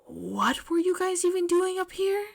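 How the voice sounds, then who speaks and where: A woman's voice: extremely high pitch, big pitch swings, measured pace, creaky, voice pushed up, heavily acted. Woman playing an exasperated, scolding male teacher. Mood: weary, stern. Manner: scolding, emphatic.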